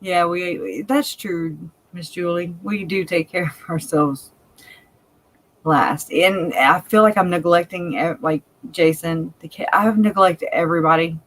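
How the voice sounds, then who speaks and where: A woman's voice: on the low side, measured pace, fairly bright tone, slight background noise, poor audio. Chatty country-sounding Cajun woman. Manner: chatty and candid, laughs often. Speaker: livestream co-host. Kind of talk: casual live chat.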